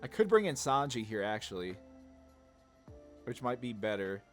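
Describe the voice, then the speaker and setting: A man's voice: medium pitch, sing-song, normal speed. A man giving casual, rambling commentary on a card game, thinking aloud in slangy, informal speech. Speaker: gaming content creator. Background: background music.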